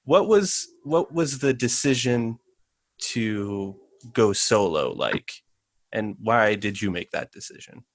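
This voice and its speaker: A man hosting a podcast interview who asks halting, friendly questions and says he is a big fan. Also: on the low side, lively intonation, no room sound. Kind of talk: casual interview.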